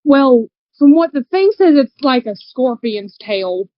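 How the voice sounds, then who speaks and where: A woman's voice: sits high, expressive, a little slow, dry recording, highs cut off. A woman playing a tabletop game, casually describing what her character does.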